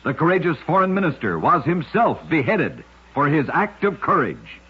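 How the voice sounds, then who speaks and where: A husky man narrates scripted oddities as an old-time radio host. His voice moves with lively pitch in a measured, dramatic announcer's style. The sound is muffled and dated, like an aged broadcast recording.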